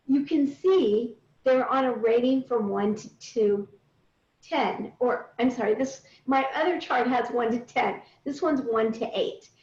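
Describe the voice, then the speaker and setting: A woman's voice: high voice, a little mellow. A middle-aged woman coaching a group on a call, guiding them through points step by step in an instructive way. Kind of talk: guided coaching talk to a group. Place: indoors on a recorded group video call. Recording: roomy, distant, dull online call audio.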